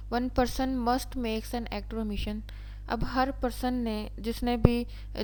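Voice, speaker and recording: A woman's voice: on the high side, a little mellow. A woman with a Pakistani accent giving an explanatory lecture as a law teacher, restating each point step by step. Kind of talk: explanatory lecture, switching between reading definitions and explaining.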